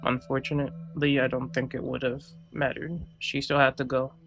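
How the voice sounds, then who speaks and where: A man giving casual, offhand, sweary reactions as a gaming streamer, with a gravelly voice. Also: mid-range voice, little pitch movement, normal speed, a bit bright. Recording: faint game music behind voice. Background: game music.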